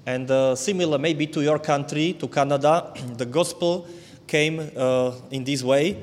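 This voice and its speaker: A man with a Slovak accent, Slovak his first language, speaking haltingly with many fillers and restarts as a visiting preacher addressing a church. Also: on the high side. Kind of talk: church talk. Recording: long hall reverb.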